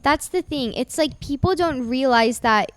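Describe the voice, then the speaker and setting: High-voiced young woman chatting casually. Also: measured pace, fairly bright tone. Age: 20s. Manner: rambling, filler-heavy, laughs often. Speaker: podcast co-host. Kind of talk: casual chat.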